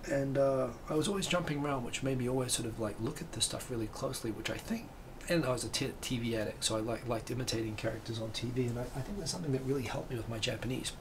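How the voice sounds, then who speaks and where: A man's voice: medium pitch, fast talker, hardly any pauses, noisy background. A man, hosting a livestream from a home room and chatting casually in a rambling way. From New Zealand. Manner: rambling, animated hand gestures. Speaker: livestream host. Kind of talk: casual chat.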